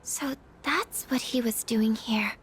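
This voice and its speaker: A shy, clever teenage schoolgirl who speaks softly and politely, often hesitating. Her voice is high and light. It is a video game cutscene. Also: slow, faint music behind. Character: soft-spoken teenage schoolgirl, shy and bright.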